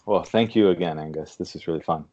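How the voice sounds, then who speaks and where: A man's voice: low voice, quick pace, darkish tone. A man chatting casually as an interview guest, a literary translator, recorded on slightly dull lo-fi audio.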